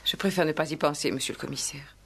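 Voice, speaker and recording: An actress playing a grieving, dignified widow, hesitant, formal and restrained, in 1960s French radio drama style. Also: female voice, deep voice, fast talker, husky. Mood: sorrowful, restrained. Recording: vintage 1960s radio play recording.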